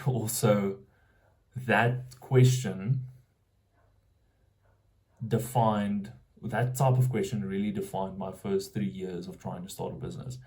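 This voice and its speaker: A man's voice: on the low side, a little mellow, rough recording. A young South African man vlogging casually, thinking aloud and often restarting his sentences as he searches for words.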